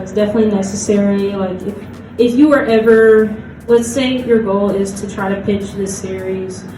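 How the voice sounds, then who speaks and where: A woman presenting informally as a convention panelist, with a hesitant, conversational manner full of "like". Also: mid-range voice. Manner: hesitant, conversational, says "like" often. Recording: echoey panel room, distant microphone, music.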